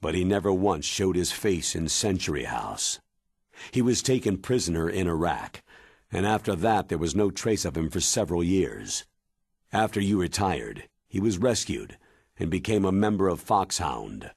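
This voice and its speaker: Deep, husky military commander. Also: male voice, big pitch swings, a little mellow, no echo, clean studio sound, exaggerated voice. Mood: serious, confiding. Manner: deliberate, dramatic, emphatic. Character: grave, authoritative older military commander giving briefing. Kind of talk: video game cutscene acting.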